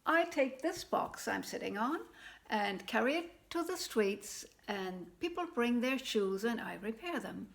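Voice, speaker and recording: A carefree poor cobbler with an easy, unhurried laugh in his speech, as a woman storyteller puts him on. He sounds untroubled and content, talking in a slow, gentle way with a slightly high voice. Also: expressive, vocal fry. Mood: easygoing. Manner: laughing, easygoing, unworried. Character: poor cheerful male cobbler, carefree and trusting.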